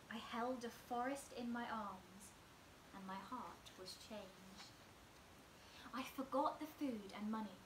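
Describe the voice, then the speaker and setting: A young woman in her twenties reading a picture book aloud as a bedtime story, slow and expressive, often dropping to near whispers, recorded at home on a camera in front of her. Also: fairly high pitch, echoey room, background noise. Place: small room at home, indoors.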